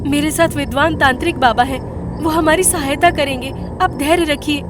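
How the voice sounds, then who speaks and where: Lady doing high earnest young woman, brave, horror cartoon, noisy background. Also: moderate pace, close to an everyday voice. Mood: reassuring. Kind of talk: animated horror story acting.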